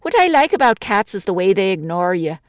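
A woman reading a story aloud, voicing a chatty, homely widow who misses the quiet of the country. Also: mid-range voice, big pitch swings, brisk, no echo, voice pushed up, close to an everyday voice. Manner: chatty, homely, warm-hearted talk.

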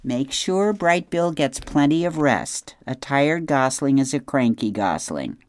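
A man's voice: mid-range voice, lively intonation, unhurried, pitched above the performer's own voice, natural voice. A man voicing a fussy adult mother goose in an audiobook, giving earnest advice. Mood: advising. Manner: earnest, advising.

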